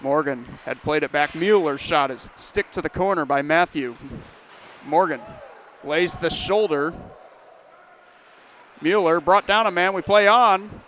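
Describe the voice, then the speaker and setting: A man calling live hockey play-by-play, brisk and lifting his voice on rushes toward the net. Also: fairly high pitch, fairly bright tone, slight background noise. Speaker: play-by-play commentator.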